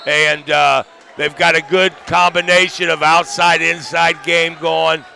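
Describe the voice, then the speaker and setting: Male color analyst, gravelly and forceful, halting folksy delivery, light gym noise behind. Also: on the high side, level tone, a faint crowd. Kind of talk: sports analysis. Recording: noisy gym with bouncing basketballs.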